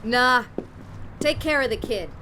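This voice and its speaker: A woman's voice: on the high side, animated, a little slow, noisy background. An actress playing a callous, cynical woman mercenary in a radio drama, dry and detached. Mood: cool, wry.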